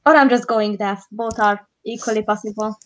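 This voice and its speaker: A woman's voice: medium pitch, steady tone, moderate pace, a bit bright. A woman with a Hungarian accent, a live stream co-host chatting casually while doing makeup, rambling with frequent restarts.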